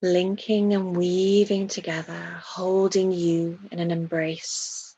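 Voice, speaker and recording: A woman leading a guided meditation in a soft, breathy voice, soothing and hushed, with long pauses between phrases. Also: lowish voice, little pitch movement, lo-fi sound.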